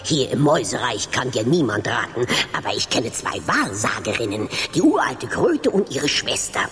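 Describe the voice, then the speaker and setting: An actress playing an ancient, fearful, self-important female mouse in a children's radio play, husky and halting, with portentous delivery. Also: extremely low pitch, very animated, faint music behind. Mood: deliberating. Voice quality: husky, raspy. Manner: halting, portentous, repeats words. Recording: long reverberant hall.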